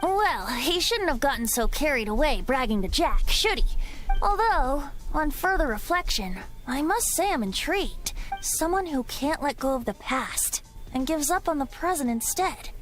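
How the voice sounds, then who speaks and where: A woman's voice: high voice, big pitch swings, moderate pace, fairly bright tone, poor audio. A woman voicing a youthful boyish bard in an anime video game, playful, sly and musing with a lilting theatrical delivery. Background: game music.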